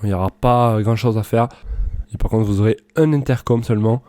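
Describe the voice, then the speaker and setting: Give the voice of a man doing a casual product review voice-over. His tone is mellow and soft-edged, recorded very dry with no room sound. He explains things informally, as if improvising from loose notes. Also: on the low side, brisk, dry recording. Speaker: presenter of product comparison videos.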